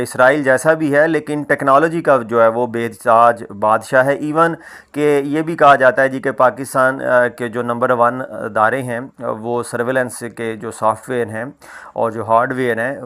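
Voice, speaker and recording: A man's voice: mid-range voice, fairly bright tone. A man giving semi-casual explanatory news commentary, conversational and padded with habitual filler phrases.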